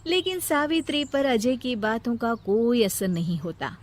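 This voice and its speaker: A woman's voice: on the high side, unhurried. A woman narrating a scripted moral story as the storyteller.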